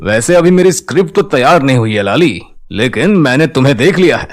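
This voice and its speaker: A man voicing a smooth, self-important film director in an animated moral story, with a measured, showy delivery. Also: medium pitch, faint music behind, lightly acted. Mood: flirtatious.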